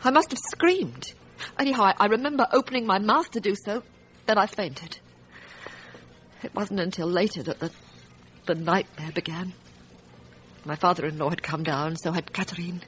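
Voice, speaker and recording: A woman's voice: on the low side, a bit bright, natural voice. Haunted, hesitant wife, played by a woman, noisy track. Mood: shaken, halting. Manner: halting, hesitant, haunted recounting. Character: frightened, distressed wife testifying about a night shooting. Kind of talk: radio drama acting.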